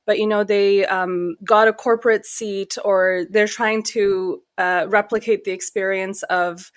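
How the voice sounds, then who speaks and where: American-accented woman, middle-aged, researcher guest, measured and thoughtful. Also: mid-range voice, steady tone. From Pakistan. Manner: articulate, measured, with frequent "um". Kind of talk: podcast interview.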